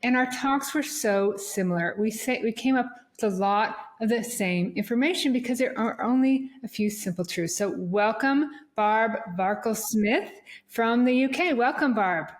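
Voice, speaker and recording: A woman's voice: fairly high pitch, moderate pace. A woman hosting a podcast, talking in a chatty way and often repeating words as she looks for the right one. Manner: chatty, repeats words while searching for them. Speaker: podcast host.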